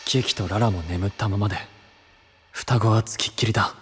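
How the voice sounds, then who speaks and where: An actor playing a hot-blooded, worried younger-brother knight with rough, boyish, urgent speech in a fantasy audio drama. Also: male voice, lowish voice, steady tone, moderate pace, soft background music. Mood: worried, subdued. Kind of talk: anime-style fantasy audio drama acting.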